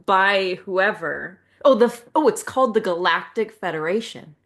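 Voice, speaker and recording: A woman's voice: mid-range voice, expressive, moderate pace, a bit bright. A middle-aged woman chatting casually as a podcast host, retelling things in a wry, self-mocking way with quoted dialogue.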